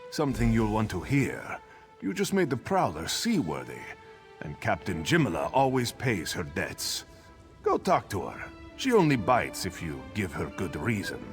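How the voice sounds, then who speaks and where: A man's voice: on the low side, wildly swooping pitch, moderate pace. An actor playing a brash, wry male privateer sailor in a fantasy video game. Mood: wry, businesslike. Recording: game music behind the voice.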